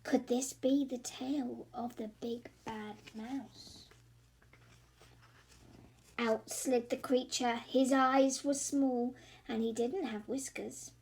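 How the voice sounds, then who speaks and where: A woman reading a rhyming children's story expressively, in a measured, dramatic singsong. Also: on the high side, steady tone, a little mellow. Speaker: storybook reader for schoolchildren.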